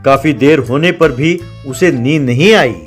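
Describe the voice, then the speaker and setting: A man's voice: fairly high pitch, a little slow, soft background music. A man reading scripted narration for a children's cartoon story.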